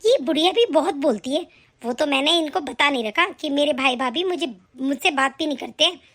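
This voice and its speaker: Indignant young daughter-in-law, high bright voice, a woman acting. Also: fairly quick. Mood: grumbling. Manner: indignant, argumentative. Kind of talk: family skit acting.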